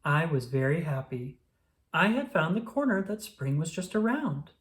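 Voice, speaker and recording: A reader voicing a calm, reassuring male frog from a children's storybook, telling things patiently and encouragingly. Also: mid-range voice, moderate pace, lightly acted. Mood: gentle storytelling.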